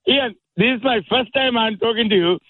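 A man's voice: extremely high pitch, fairly quick, no echo. A man in his seventies, a caller from Sri Lanka whose first language is Tamil, speaking with a Sri Lankan accent, hesitant with many fillers and small chuckles. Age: 70s. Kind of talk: phone-in call. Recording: tinny phone line.

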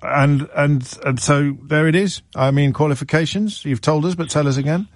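A man's voice: a little high, normal speed, no room sound. A man hosts a radio phone-in, joking and chatting loosely with callers. He often restarts and trails off mid-sentence. The studio sound is close and dry. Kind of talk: casual radio phone-in chat. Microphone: studio mic.

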